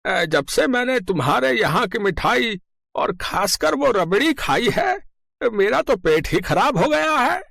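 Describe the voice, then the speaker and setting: A creaky old villager from a cartoon tale, played by a woman. The voice is high and swings up and down as he complains haltingly. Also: sing-song, fairly bright tone, no room sound, studio quality, heavily acted. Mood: pained, aggrieved. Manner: groaning, halting, aggrieved. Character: complaining old village man with an upset stomach.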